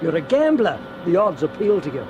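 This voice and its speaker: An actor playing a skeptical colleague who talks quickly, in a radio play. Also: male voice, fairly high pitch, very animated. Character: skeptical male colleague of an oil man. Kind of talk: radio drama acting. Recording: dull, hissy old radio recording.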